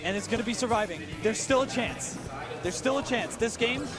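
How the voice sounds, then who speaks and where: A man casting a live esports match at a big venue, excitable and fast with his calls, his voice worn and hoarse from shouting. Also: very high-pitched, over music. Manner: excitable, rapid play-by-play with exclamations. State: losing his voice. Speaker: esports commentator. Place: large tournament venue.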